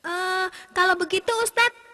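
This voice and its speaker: High, bright young pupil voiced by a woman. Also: normal speed. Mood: curious, polite. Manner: polite questioning. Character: polite, curious young pupil questioning the religious teacher. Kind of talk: scripted educational cartoon dialogue. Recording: long hall reverb.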